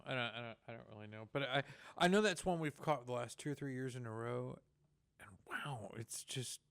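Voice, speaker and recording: A man's voice: medium pitch, a little fast, a bit bright, clean tone, no echo. A man with a Texan accent hosting a community radio talk show, chatting casually in the studio with many restarts and fillers.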